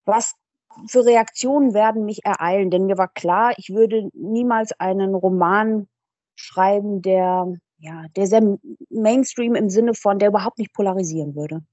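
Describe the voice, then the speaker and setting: A woman in her thirties speaks with a mellow, darkish tone, choosing her words thoughtfully and measuredly, with the occasional hesitation. The recording is dry and close, with no room sound at all. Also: medium pitch, a little slow, no room sound. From Germany. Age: 30s. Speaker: guest, author and teacher. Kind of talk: interview conversation.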